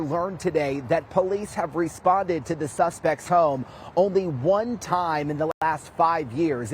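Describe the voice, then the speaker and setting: Man, American accent, TV field reporter, animated intonation, slightly high voice, faint background noise. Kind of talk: scripted news reporting. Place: outdoors, live report outside police precinct.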